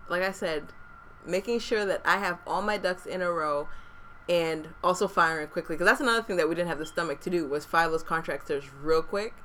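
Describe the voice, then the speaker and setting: This is a woman co-hosting a podcast, speaking in a casual, frank way and dropping plenty of "like" fillers. Her voice sits a little low for a woman but comes across bright and forward. She's recorded close on a desktop microphone. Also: normal speed, slight background noise. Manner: frank, chatty, many "like" fillers. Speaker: podcast co-host, real estate investor. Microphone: desktop USB microphone.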